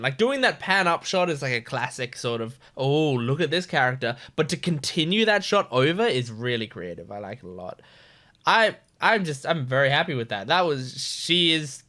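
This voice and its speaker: A man's voice: a little high, very animated, moderate pace, pushed and bright. A man giving casual, informal reaction commentary as a host, rambling and swearing freely.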